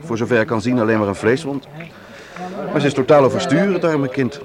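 Composed male doctor amid loud crowd, acted. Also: medium pitch, animated, a little fast, close to an everyday voice. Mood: matter-of-fact. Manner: reassuring, composed. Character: calm, soothing male doctor at a street shooting. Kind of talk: radio drama acting. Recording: loud outdoor crowd behind.

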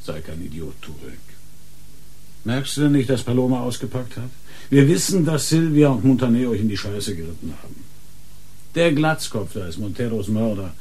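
An actor playing a hard, sarcastic police inspector grilling suspects in a radio crime drama, pressing and mocking. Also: male voice, mid-range voice, animated, moderate pace, dark tone, slightly rough.

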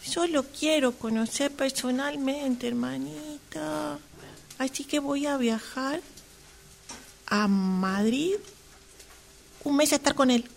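A woman's voice: high-pitched, lively intonation, extremely slow, creaky, long pauses, slight background noise, exaggerated voice. A woman with an Argentine accent playing a lovestruck, put-upon sister in an amateur radio drama, pleading, whiny and self-dramatizing, repeating words insistently. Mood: wistful, pleading.

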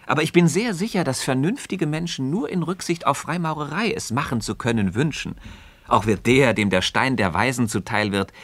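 An actor playing a composed, wise Freemason mentor in a philosophical radio play, reasoning in a measured, didactic, gently ironic manner. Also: male voice, medium pitch, no room sound, lightly acted. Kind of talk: mid-century German radio play acting of philosophical dialogue.

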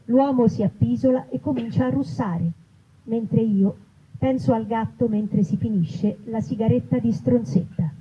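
A woman's voice: a little high, measured pace. A woman, a writer and actress reading comic vignettes from her own book to a live event. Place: live festival stage, outdoors in an olive grove. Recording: dull, noisy live event recording.

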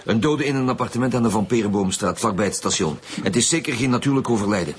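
An actor playing a gruff, curt and drily sarcastic chief detective with a Flemish accent in a radio drama. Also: male voice, lowish voice, lively intonation, fast talker, slight background noise, lightly acted. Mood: curt, bossy. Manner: curt, bossy, dry sarcasm.

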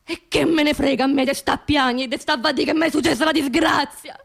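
A woman's voice: sits high, fairly even intonation, quick pace, vocal fry. An actress playing an angry, grieving working-class Roman woman in a theatrical radio monologue, colloquial and bitter, demanding justice. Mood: anguished outrage. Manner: impassioned, colloquial, bitter rhetorical questions.

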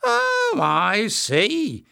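A man's voice: very high voice, big pitch swings, measured pace, gravelly, fairly bright tone, no room sound, clean studio sound, pitched above the performer's own voice, heavily acted. A man voicing a small, malicious former acrobat in a story, sly and jeering with mock courtesy. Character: malicious little man, former palace acrobat, mocking and spiteful.

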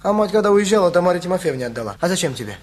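A man's voice: sits high, big pitch swings, racing, darkish tone. An actor playing a playful, simple teenage boy just out of school in a radio play, often slipping into sing-song. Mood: casual.

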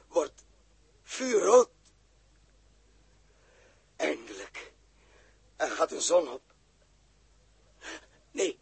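An actor playing a desperate, bewildered injured man in a radio play, halting and repeating himself in pain. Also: a little high, no room sound, heavily acted. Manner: halting, repeating words, anguished. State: in pain, struggling. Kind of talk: 1960s Dutch radio play acting. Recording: husky-sounding old 1960s radio recording.

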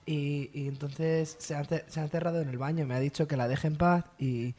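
A man's voice: fairly high pitch, fairly even intonation, brisk, mellow, close to an everyday voice. A man playing a nervous, oblivious boyfriend who stammers and repeats himself as a caller in an absurd radio comedy. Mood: flustered. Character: nervous, dim, oblivious young boyfriend phoning in.

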